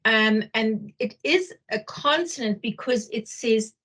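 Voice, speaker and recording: A middle-aged woman with a Zimbabwean accent explaining material as a workshop trainer, haltingly, often repeating phrases for emphasis. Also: a little high, fairly bright tone. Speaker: teacher trainer leading a workshop. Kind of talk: explanatory lecture.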